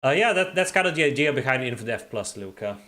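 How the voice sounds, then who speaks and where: A man's voice: mid-range voice, fast. Man with throaty R sounds. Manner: relaxed, reads and answers chat while playing. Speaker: streamer playing and chatting. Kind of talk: casual livestream chat.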